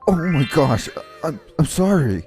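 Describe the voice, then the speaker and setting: A man's voice: on the high side, measured pace, faint music behind. A man voicing an exhausted, polite young office worker who gets flustered, in an earnest manga dub style. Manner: earnest, weary, expressive. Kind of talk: anime-style manga dub narration and dialogue.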